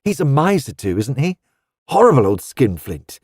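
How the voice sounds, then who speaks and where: A man voicing a sensible, orderly young woman artist who speaks in a polite, brisk and frank way. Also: mid-range voice, sing-song, a little mellow, breathy edge, no room sound, clean studio sound. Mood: friendly.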